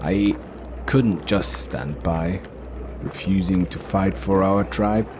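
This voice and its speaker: A man playing a wry, principled male relic hunter in a dark fantasy audio drama. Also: low voice, takes it slowly, background noise. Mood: earnest conviction. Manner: muttering, absent, earnest.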